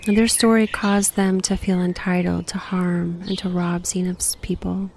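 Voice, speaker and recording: A woman guiding a meditation in a gentle, unhurried, breathy voice that sometimes nears a whisper, giving slow reflective prompts. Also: on the low side, level tone, slight background noise. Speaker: guided meditation host.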